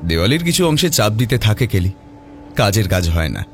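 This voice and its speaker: A man's voice: fairly low pitch, big pitch swings, quick pace, a little hiss or hum. A man narrating a horror mystery story in a dramatic, suspenseful, theatrical way. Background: prominent background music.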